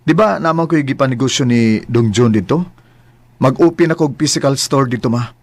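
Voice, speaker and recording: A man's voice: mid-range voice, a little fast, natural voice. A man playing a young, earnest son and boyfriend in an amateur radio drama, often apologizing. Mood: warm.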